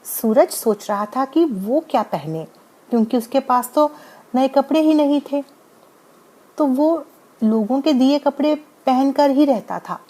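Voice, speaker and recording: A woman's voice: sits high, animated, a little slow, warm tone. A woman narrating a scripted bedtime moral story as the storyteller.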